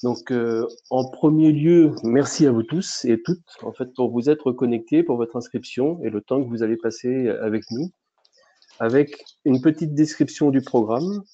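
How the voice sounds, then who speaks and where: Male webinar host, hesitant delivery, warm dark voice, lo-fi sound. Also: mid-range voice, moderate pace. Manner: hesitant, many fillers and restarts. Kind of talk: webinar presentation. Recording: dull lo-fi webinar audio.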